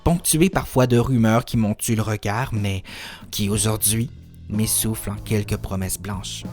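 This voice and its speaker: A man reciting his own spoken-word poetry in a contemplative, literary way, in short measured phrases. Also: lowish voice, fairly even intonation, a little mellow, faint music behind. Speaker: poet reciting own work.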